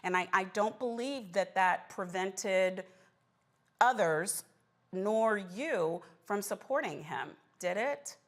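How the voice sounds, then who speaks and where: A woman's voice: medium pitch, animated, takes it slowly. A woman hosting a political talk show, putting challenging, persistent questions to a guest.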